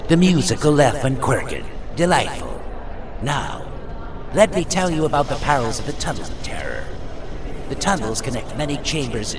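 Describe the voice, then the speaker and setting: A man's voice: mid-range voice, very animated, moderate pace, a crowd in the background, pitched above the performer's own voice. A man voicing a portly goblin game show host in a storybook, oily, smug and theatrical. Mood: gleeful menace. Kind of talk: audiobook character voice.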